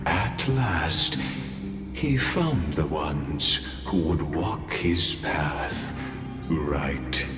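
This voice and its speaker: A grave storyteller of heroic legend in a game, very low and extremely slow. His theatrical delivery rings in a long reverb over clear music. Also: lively intonation, full character voice. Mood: solemn, grand. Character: solemn male storyteller of an epic legend. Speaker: narrator. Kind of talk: scripted epic narration.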